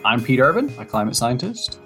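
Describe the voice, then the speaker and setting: A man's voice: mid-range voice, sing-song, a little fast, bright, forceful tone, faint music behind. A man hosting a podcast and interviewing his guest in an upbeat, friendly way. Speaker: podcast host, climate scientist.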